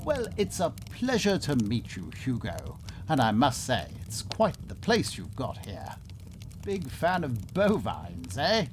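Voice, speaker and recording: An actor playing a polite, excitable Victorian nobleman in a comedy radio play, with a theatrical, pompous and well-mannered delivery. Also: mid-range voice, unhurried. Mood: polite, genial. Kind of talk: melodramatic first-person radio theatre narration and acting. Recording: noisy ambience behind the voice.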